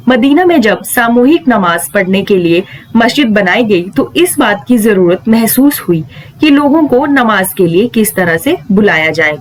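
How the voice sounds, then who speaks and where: High-pitched woman explainer, noisy recording. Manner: didactic, poses questions and then answers them; mixes English terms into speech. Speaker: legal news explainer. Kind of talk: explanatory lesson addressed to viewers.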